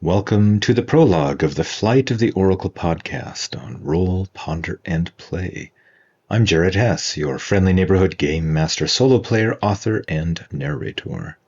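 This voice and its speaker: A man narrating a scripted story as podcast host and game master, in a theatrical, deliberate way. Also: sits low, lively intonation, a little mellow, lo-fi sound. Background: clear background music.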